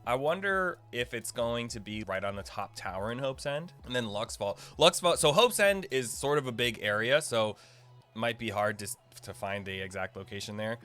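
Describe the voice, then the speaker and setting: A man's voice: medium pitch, animated, moderate pace, a bit bright. A young man giving casual, informal game guide commentary, rambling and thinking aloud as he plays. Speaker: gaming video creator. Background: faint game music.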